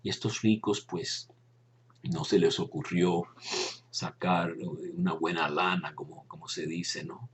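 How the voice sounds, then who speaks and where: An older man with a Cuban accent, slowly reminiscing about his years as a friar, pausing and restarting as he shows old photos. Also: deep voice, fairly even intonation, breathy edge. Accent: Cuban Spanish. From Cuba. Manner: reflective, pausing often with fillers and restarts. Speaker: former Dominican friar recounting memories. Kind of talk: unhurried personal reminiscence, showing photos.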